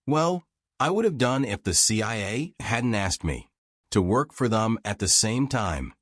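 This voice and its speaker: A man's voice: fairly low pitch, very animated, a little slow, a bit bright, no echo, clean studio sound, full character voice. A man voicing a frank, wry old American war reporter reminiscing in folksy, self-deprecating audiobook dialogue. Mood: matter-of-fact.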